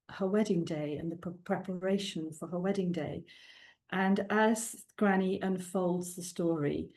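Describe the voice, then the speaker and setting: A middle-aged woman from South Wales with a Welsh accent, a native Welsh speaker, chatting as a guest in an interview, with frequent ums and laughs. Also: lowish voice. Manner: warm, chatty, laughs often, many ums. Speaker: guest author. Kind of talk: casual interview chat.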